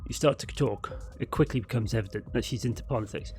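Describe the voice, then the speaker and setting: A man's voice: on the low side, fast talker, darkish tone. Man narrating a story over faint music. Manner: dramatic storytelling with occasional stumbles over words. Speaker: narrator, amateur reader of a visual novel. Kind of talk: scripted narration read aloud.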